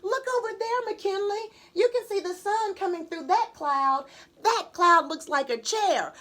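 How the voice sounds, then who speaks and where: A woman's voice: very high-pitched, a little slow, fairly bright tone, pitched above the performer's own voice. A woman voicing a dreamy, curious little girl of first-grade age in a children's storybook. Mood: wonder. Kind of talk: children's storybook read-aloud.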